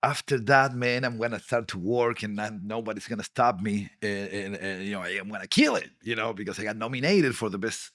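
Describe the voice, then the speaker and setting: A man's voice: on the low side, dry recording, studio quality. A middle-aged man from Argentina with an Argentine Spanish accent, speaking English as a second language, Spanish being his native tongue, telling his story in a candid podcast interview with many restarts. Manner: halting, many restarts and fillers, animated retelling. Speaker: actor and producer guest.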